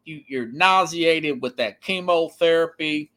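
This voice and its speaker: A man with a fairly high, very bright and forceful voice delivers off-the-cuff sports opinion as a podcast host. He speaks emphatically, stopping and restarting and repeating himself to drive a point home. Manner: halting, repetitive, emphatic. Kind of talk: unscripted opinionated sports commentary.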